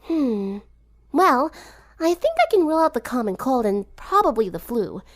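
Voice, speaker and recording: A woman's voice: high voice, huge pitch swings, normal speed, voice pushed up, heavily acted. A woman voicing an earnest, inquisitive teenage girl who speaks politely and thoughtfully in a story reading. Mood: concerned.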